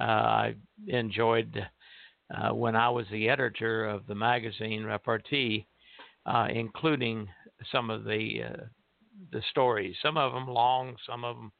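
A man with a creaky voice speaks on an internet radio show as its producer and co-host. His delivery is halting, moving between casual talk and reading aloud. The sound is narrow and phone-like, with very little room echo. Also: lowish voice.

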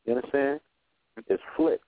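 A man talking in a relaxed, reflective way on a radio roundtable, often stopping to ask "you know what I'm saying". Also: normal speed, dry recording. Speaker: radio show guest. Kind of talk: casual roundtable talk. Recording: phone-like narrow line.

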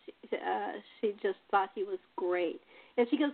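A woman's voice: mid-range voice, moderate pace, gravelly. A woman hosting a casual chat, telling stories in a conversational way. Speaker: host and playwright. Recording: thin phone-like call audio.

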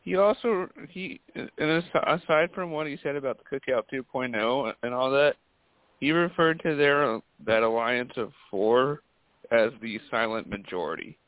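A man's voice: mid-range voice, moderate pace, no room sound. A man in a casual podcast group chat, speaking emphatically with firm opinions. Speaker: podcast panelist. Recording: phone-like call audio.

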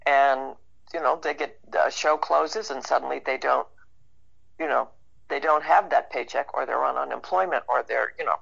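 A woman's voice: a bass voice, normal speed, gravelly, fairly bright tone, breathy edge. A woman speaking casually and conversationally as a guest in an interview. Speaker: interview guest, actor and writer. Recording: dull band-limited radio call.

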